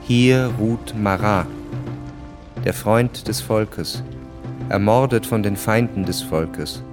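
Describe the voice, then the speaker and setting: A man's voice: on the low side, slow pace. A man narrating a radio drama in a scripted literary style. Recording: music behind the voice.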